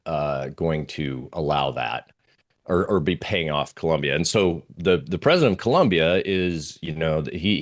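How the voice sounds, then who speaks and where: A man giving unscripted analysis as an interview guest, talking through slides in a halting, rambling way with pauses and repeated phrases. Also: low voice. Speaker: interview guest, geopolitical oil trading analyst.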